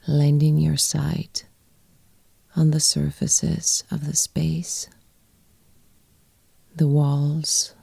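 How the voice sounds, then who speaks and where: A woman guiding a yoga nidra meditation in a soft, breathy, often near-whispered voice, with gentle phrases and long pauses. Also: low voice, no echo. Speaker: yoga nidra teacher and guide. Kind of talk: guided meditation, soft and soothing.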